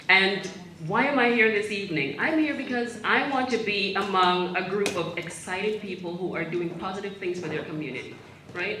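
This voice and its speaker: A woman attendee introducing herself with an energetic, motivational-speaker delivery. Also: lowish voice. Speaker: event attendee. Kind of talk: upbeat self-introduction. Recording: echoey room with a crowd behind.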